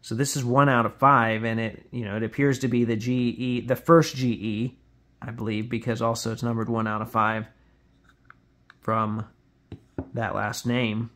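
A man's voice: medium pitch, little pitch movement, normal speed, fairly bright tone. A man hosting in a casual, conversational way, explaining items as he shows them in an unboxing. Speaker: podcast host. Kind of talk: casual unboxing commentary on sports cards. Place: indoors at a tabletop, hands-only camera.

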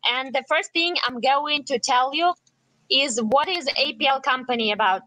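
A woman with as her interprets into English, rendering each phrase right after the speaker and sometimes loosely. Her voice is high and bright. The recording is close and dry. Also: a little slow, no echo, low-quality recording.

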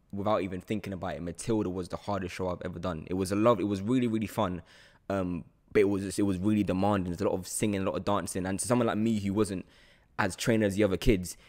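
A man's voice: sits low, darkish tone. A young man with a London accent, chatting casually as the host of an interview podcast, close to the microphone. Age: young adult. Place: small room, indoors. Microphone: podcast microphone on boom arm, close.

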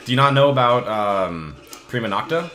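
A man's voice: medium pitch, very animated, normal speed, bright, forceful tone. A man hosting a casual let's play, joking crudely and swearing his way through the game commentary. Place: hot indoor room.

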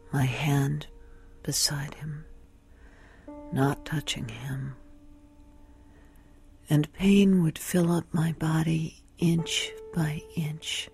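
An actress playing a brooding, lovesick woman, confiding in a breathy near-whisper with measured, intimate delivery in a radio play. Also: low voice, a little mellow, heavily acted. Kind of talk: intimate dramatic monologue.

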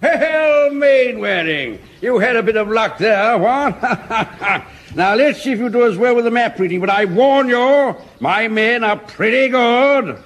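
An actor playing a blustering, boastful army captain in a radio sitcom. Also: male voice, extremely high pitch, lively intonation, a bit bright, heavily acted. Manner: blustering, drawn-out.

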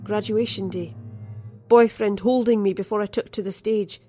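A woman reading a scripted first-person horror story in a measured, dramatic, literary manner. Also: mid-range voice, fairly even intonation, dark tone, soft background music. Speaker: story narrator.

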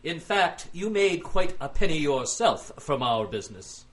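Man playing a smug rich witness, lively intonation. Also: on the high side, measured pace. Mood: smug, guarded. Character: smug, wealthy businessman on the witness stand. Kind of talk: audio drama courtroom acting.

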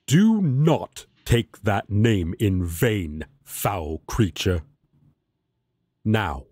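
A man's voice: fairly low pitch, very animated, very slow, a little mellow, no room sound, clean studio sound, exaggerated voice. A man voicing a righteous, commanding angel warrior in a fantasy audio roleplay, with archaic, preachy and self-important speech. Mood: stern.

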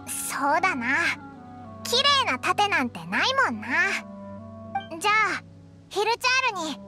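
Squeaky, childlike little fairy. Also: female voice, unhurried, heavily acted. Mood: thoughtful. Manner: blunt, excitable. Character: small floating childlike fairy companion, blunt and suspicious. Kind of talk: Japanese game character acting. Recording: game music behind.

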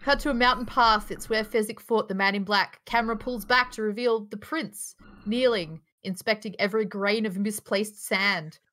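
A woman's voice: medium pitch, normal speed, few pauses, a bit bright. A woman narrator reading screenplay stage directions aloud at a live table read.

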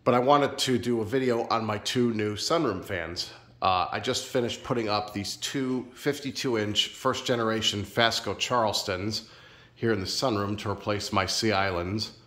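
A man filming a casual home vlog in his sunroom, a little nasal and stuffed up from a sinus infection, talking in a relaxed, rambling, friendly way. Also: lowish voice, normal speed. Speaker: ceiling fan collector vlogger. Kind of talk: casual show-and-tell vlog.

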